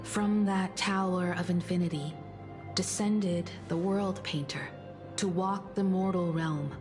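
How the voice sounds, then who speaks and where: An actress playing a resolute, composed grown daughter and heroic traveller in a video game, speaking in a measured, poised storytelling manner. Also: female voice, fairly low pitch, steady tone, over music. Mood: solemn storytelling. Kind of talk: dramatic video game voice acting.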